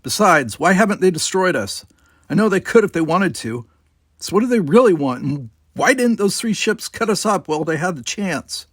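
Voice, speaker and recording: A man's voice: high-pitched, very animated, normal speed, dry recording, clean studio sound, pitched above the performer's own voice. A man voicing a determined, defiant young cadet commander who barks clipped, urgent orders in an audiobook. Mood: tense, reasoning. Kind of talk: dramatized audiobook dialogue.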